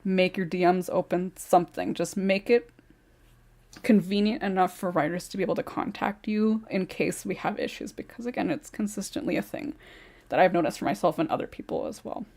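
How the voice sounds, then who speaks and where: A woman's voice: on the low side, a little fast, a little mellow. A woman who writes scripts, chatting casually on a podcast and rambling with lots of fillers.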